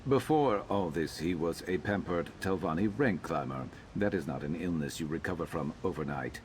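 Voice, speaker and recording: An actor playing a gruff, guarded lizard-man companion in a video game, speaking in a dry, blunt, measured way. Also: low-pitched, a little hiss or hum, lightly acted. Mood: guarded, matter-of-fact. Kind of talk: video game character dialogue.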